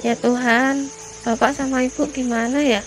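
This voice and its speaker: A woman's voice: high voice, little pitch movement, moderate pace, over music, lightly acted. A woman voicing an anxious teenage schoolgirl lost on a hike, talking plaintively to herself in a horror cartoon. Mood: anxious, regretful. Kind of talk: animated horror story dubbing.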